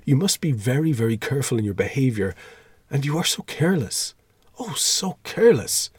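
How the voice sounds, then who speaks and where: A man's voice: mid-range voice, a little mellow, husky, no echo, voice pushed up. A man reading a storybook as a fussy, timid, easily shocked older schoolmaster with a formal, pleading, moralising manner.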